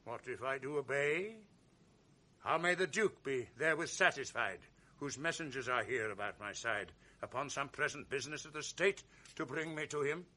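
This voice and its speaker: A proud, commanding general in a radio play. His verse comes measured and steady, with a lively melody and a very bright, ringing tone. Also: mid-range voice. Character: proud noble male general, calm commander. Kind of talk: 1980s radio drama acting.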